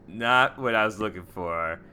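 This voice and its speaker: Bright, punchy-voiced man playing a tabletop game. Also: fairly low pitch, a little slow, clear, resonant tone, soft background music. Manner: casual, jokey, slangy. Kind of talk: casual improvised role-play.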